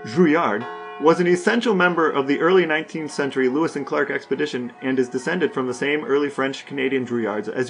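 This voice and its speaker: A man co-hosting a podcast, asking questions in a casual, conversational interview style. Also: fairly high pitch. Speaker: podcast co-host.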